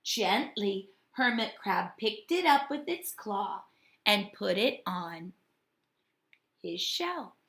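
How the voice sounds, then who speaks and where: A woman teacher giving a read-aloud lesson to young children, speaking in a deliberate, instructive way. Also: medium pitch, big pitch swings, a bit bright. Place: home kitchen. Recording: echoey domestic room.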